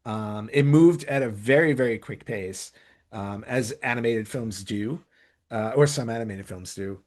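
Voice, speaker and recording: A man's voice: on the low side, measured pace, a little mellow. A man hosting a podcast in a casual, conversational commentary style. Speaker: podcast host. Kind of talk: casual chat, countdown commentary.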